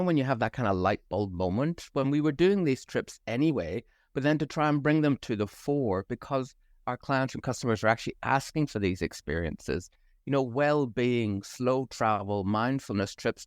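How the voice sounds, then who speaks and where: A middle-aged man with a Northern Irish accent worn down by years abroad, chatting enthusiastically as an interview guest. His pitch sits mid-range for a man. The sound is dry and close, with no room in it. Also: no room sound. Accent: Northern Irish, softened by years abroad. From Northern Ireland. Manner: enthusiastic, fluent with some fillers. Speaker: travel company managing director, guest. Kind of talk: conversational podcast interview.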